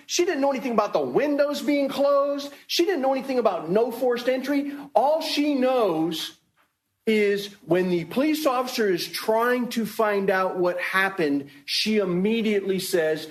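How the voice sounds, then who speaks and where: A man speaking as a prosecutor to a jury, making an emphatic, deliberate closing argument with repeated phrases, recorded in a courtroom. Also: very high-pitched, roomy sound. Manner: emphatic, deliberate, repetitive rhetoric. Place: indoor courtroom.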